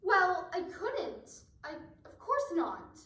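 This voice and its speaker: A woman's voice: extremely high pitch, huge pitch swings, slow pace, pushed and bright, distant mic, heavily acted. A woman playing an anxious, evasive wealthy wife in an amateur radio mystery. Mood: nervous, evasive. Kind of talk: amateur radio drama acting. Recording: echoey room, off the mic.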